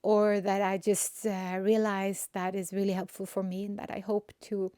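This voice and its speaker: A woman with a Swedish accent, a spiritual coach and healer, talking gently and searchingly in an unscripted inspirational monologue. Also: mid-range voice, little pitch movement, moderate pace, clear, resonant tone, no echo, studio quality. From Sweden. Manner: gentle, searching, with restarts and soft fillers.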